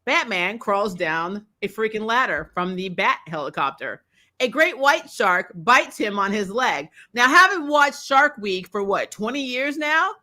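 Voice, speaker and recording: A woman co-hosting a casual comic book chat, telling things in an animated, sarcastic way with mild swearing. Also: mid-range voice, a little slow. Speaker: co-host of a comic book discussion stream. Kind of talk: casual fan chat, reading and answering viewer comments.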